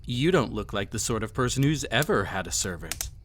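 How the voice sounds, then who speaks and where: A man's voice: lowish voice, big pitch swings, a bit bright, a little hiss or hum. A man playing a cruel, arrogant emperor in a scripted audio roleplay, speaking in a formal, mocking, condescending way. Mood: smooth condescension. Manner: haughty, formal, mocking, deliberate theatrical phrasing. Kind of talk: scripted audio roleplay, villain monologue addressed to listener.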